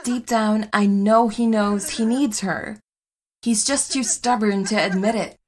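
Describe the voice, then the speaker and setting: A woman's voice: medium pitch, measured pace, background noise, natural voice. A woman playing a sensible, caring superheroine wife with a practical, conversational manner in a comedy audio drama.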